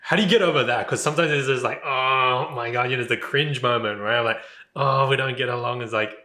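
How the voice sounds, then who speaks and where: Man, casual interviewer, rambling questions, bright forceful voice, roomy echo. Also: medium pitch, brisk. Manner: rambling, full of "like" and "right?".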